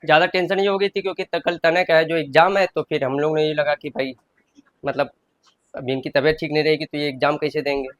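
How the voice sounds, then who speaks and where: A man in his thirties talks casually to his viewers about his shop and family in a homemade vlog. His voice sits a little higher than most men's. He keeps up a relaxed, chatty flow. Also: quick pace, no room sound. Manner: chatty, addresses viewers as friends. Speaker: vlogger, shop owner and father.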